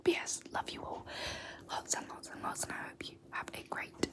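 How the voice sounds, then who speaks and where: A young woman whispering softly and slowly repeating words for an ASMR tag video. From South Africa. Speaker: YouTuber.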